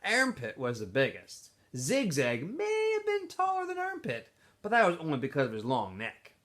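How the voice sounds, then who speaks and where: A man, a teacher reading a novel aloud to students in an expressive, informal storytelling style. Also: a little high, moderate pace.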